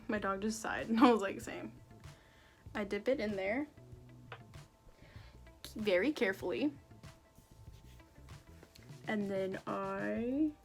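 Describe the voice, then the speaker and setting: A young woman with a raspy, sore-throated voice, chatting casually and joking at her own expense while she does her makeup. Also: mid-range voice, a little mellow. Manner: self-deprecating, jokey, rambling. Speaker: beauty vlogger.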